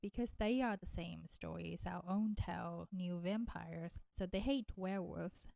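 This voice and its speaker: Woman playing a teasing vampire seductress, creaky voice. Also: on the low side, warm tone, dry recording. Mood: flirty. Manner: slow, teasing, coaxing, addressing listener with pet names. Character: flirty, teasing, nurturing female vampire, ancient enemy turned caretaker. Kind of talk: intimate roleplay audio drama.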